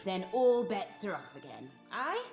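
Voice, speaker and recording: A woman's voice: mid-range voice, very animated, unhurried, darkish tone, faint music behind. An actress voicing a bold, mocking female pirate captain in a video game, with a drawling theatrical delivery. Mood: swaggering, threatening. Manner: drawling, theatrical, archaic flourishes. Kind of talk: video game character acting.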